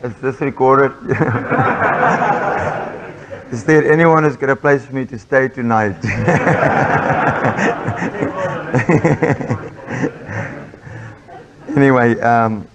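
A man's voice: medium pitch, lively intonation, extremely slow, pauses often, heavy background noise. A man with an Afrikaans accent giving an informal sermon, chatty and full of fillers and restarts. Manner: chatty, self-interrupting with frequent fillers. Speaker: preacher.

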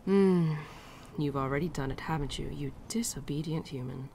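A woman playing a gentle, teasing winter spirit in a girlfriend ASMR roleplay, speaking softly and tenderly, often dropping to a near-whisper. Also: low voice, moderate pace, vocal fry, slight background noise. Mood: playful, teasing. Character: gentle, teasing female winter spirit, affectionate old friend. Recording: birdsong and outdoor ambience behind.

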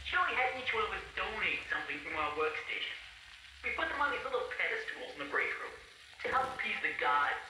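Fed up wisecracking cartoon studio worker, game audio log, swingy delivery, echoey old recording. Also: male voice, high-pitched, pushed and bright, distant mic, slight background noise, heavily acted. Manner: exasperated, sarcastic.

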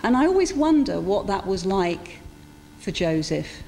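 A middle-aged woman giving an informal, reflective sermon in a conversational storytelling style, with pauses for emphasis. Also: medium pitch, big pitch swings, vocal fry, warm tone. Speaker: preacher. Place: church interior, speaking to a congregation. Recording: echoey church hall with background noise.

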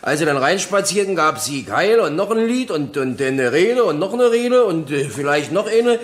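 Chatty, animated working-man witness. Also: on the high side, normal speed. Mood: rambling, irreverent. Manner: rambling, colloquial, flippant. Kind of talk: German radio courtroom drama acting.

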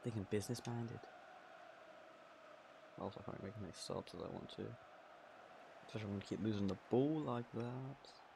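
A man with a croaky voice giving casual, excitable commentary on his own football video game match. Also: lowish voice, normal speed, long pauses, noisy background. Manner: excitable, swears and laughs at own play. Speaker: gaming channel host.

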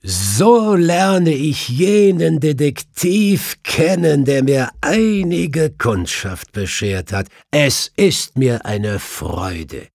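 A man voicing a strapping, coolly courteous executioner in a Victorian audiobook, speaking formally and deliberately. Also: fairly high pitch, expressive, vocal fry, a little mellow, no echo, studio quality, voice pushed up, full character voice. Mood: courteous, measured. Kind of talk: audiobook character voice.

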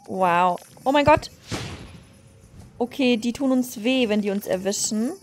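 A woman hosting a let's play, chatting and exclaiming excitably as she comments on the game. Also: on the high side, unhurried. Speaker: gaming channel host.

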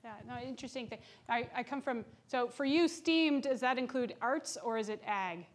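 Woman, slightly high voice, thoughtful, restarts and asides, lecture hall Q&A. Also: moderate pace. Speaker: keynote speaker answering questions. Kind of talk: live Q&A answers at a lecture.

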